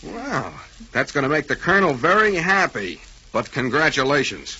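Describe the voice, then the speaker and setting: A man's voice: a little high, sing-song, normal speed, sharp tone, husky, full character voice. A man playing a blunt, wisecracking sidekick in a 1940s radio drama. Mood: amused. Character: scrappy male sidekick with a grudge. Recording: dull, hissy 1940s radio recording.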